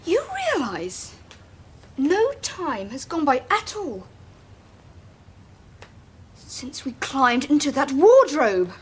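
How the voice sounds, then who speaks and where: High-voiced, earnest schoolgirl. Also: slow pace, long pauses, heavily acted. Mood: anxious, earnest. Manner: earnest, polite, expressive. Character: polite, earnest schoolgirl explaining herself anxiously. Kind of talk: radio drama acting.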